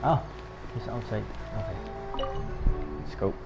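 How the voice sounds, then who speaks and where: A man's voice: on the low side, takes it slowly, gravelly. A man with a Filipino accent giving casual game commentary, hesitant and musing as he follows along. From Philippines. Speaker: gaming commentator. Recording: dull sound, loud game music behind.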